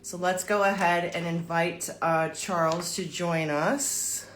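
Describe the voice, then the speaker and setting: A woman's voice: on the low side, steady tone, slow pace, slight background noise. A woman hosting a live online interview, chatty and upbeat. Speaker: host.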